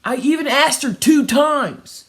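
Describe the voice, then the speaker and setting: A woman voicing indignant young pig schoolchildren in a storybook, whining and complaining loudly as tattletales. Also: high-pitched, measured pace, pitched above the performer's own voice. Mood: whiny, accusing. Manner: whiny, loud, complaining.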